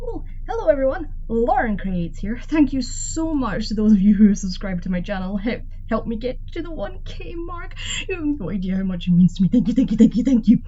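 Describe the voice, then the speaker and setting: A woman hosting an audio roleplay in a theatrical, in-character way, cheeky, sweary and expressive. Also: a little high, fairly quick, hardly any pauses, dull audio, a little hiss or hum. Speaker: voice actor and channel host.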